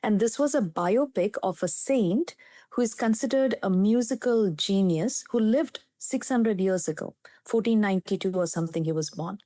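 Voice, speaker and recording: A woman's voice: medium pitch, moderate pace, dark tone, dry recording. A woman in her fifties from India, a native Telugu speaker with an Indian English accent, telling her story as a psychiatrist guest in an interview in an earnest, reflective way.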